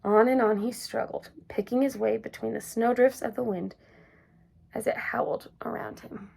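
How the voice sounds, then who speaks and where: A woman reading a children's picture book aloud, gentle and expressive. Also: on the high side, moderate pace, creaky, husky. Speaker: storyteller. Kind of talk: children's story read-aloud.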